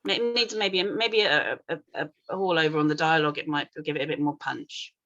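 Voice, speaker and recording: A woman's voice: low-pitched, fairly quick, pushed and bright, dull audio. A woman giving informal feedback as a script panel reader, hesitant, rambling and apologetic. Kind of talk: informal spoken critique.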